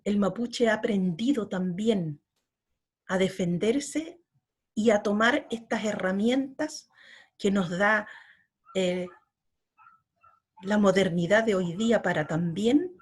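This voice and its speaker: A middle-aged woman from Chile, a poet being interviewed, speaking slowly and earnestly and often repeating her words. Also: mid-range voice, husky. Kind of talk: reflective interview talk.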